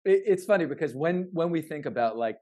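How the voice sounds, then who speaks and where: A man co-hosting a podcast in casual, thoughtful chat, halting and repeating words as he thinks. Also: fairly high pitch, expressive, darkish tone. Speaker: podcast co-host.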